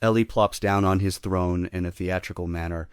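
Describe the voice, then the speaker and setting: A man's voice: sits low, fairly even intonation, moderate pace, clear, resonant tone, no echo, studio quality. A man giving scripted narration, reading the stage directions at a table read.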